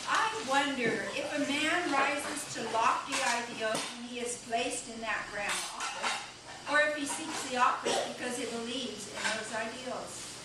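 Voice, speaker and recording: A woman's voice: fairly high pitch, a little slow, natural voice. A woman playing a solemn, reflective male American president from the Civil War in a readers' theater stage reading. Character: solemn, reflective Abraham Lincoln in heaven, adult male president. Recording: echoey room, distant mic, noisy.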